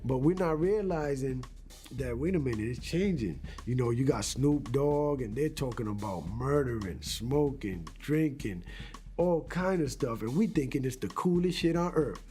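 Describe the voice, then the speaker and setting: A man's voice: medium pitch, normal speed, soft background music. A man from Rhode Island who was in a rap group, speaking animatedly in a documentary interview. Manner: animated, conversational.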